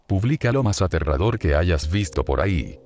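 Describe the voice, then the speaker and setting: A man with a very low, deep voice narrates horror stories in a steady, measured way. He speaks close to the mic as a voice-over. Music plays behind him the whole time. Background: background music.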